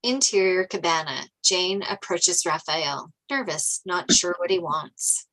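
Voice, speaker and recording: A woman narrator reads screenplay directions aloud. Her voice is fairly low for a woman but bright and forceful in tone. The delivery is scripted narration, setting scenes and describing action. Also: measured pace, poor audio.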